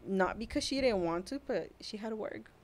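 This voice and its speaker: A young woman from Mexico whose first language is Spanish, a mother sharing her story as a podcast guest in a soft, hesitant way with frequent laughs. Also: medium pitch, lively intonation, normal speed. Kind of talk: casual personal storytelling.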